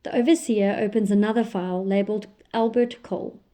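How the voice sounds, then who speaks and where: A woman reading the scripted narration for an audio drama, with a mellow, darker voice. She keeps an even, descriptive pace through the scene-setting. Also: medium pitch, brisk.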